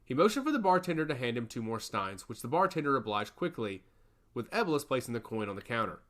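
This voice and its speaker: Man, fantasy audiobook narration, storyteller, mid-pitched, highly varied intonation. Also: sing-song, fast, a bit bright.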